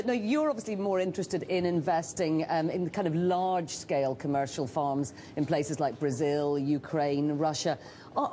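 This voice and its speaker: TV news anchor, woman, deep and mellow, brisk questioning, faint hiss. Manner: brisk questioning with some restarts. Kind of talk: live news interview. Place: TV studio.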